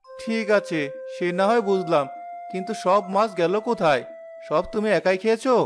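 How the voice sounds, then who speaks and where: A man's voice: high voice, normal speed, over music, lightly acted. A man voicing a mild, puzzled and suspicious village husband in a Bengali cartoon story. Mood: questioning. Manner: questioning, wondering aloud.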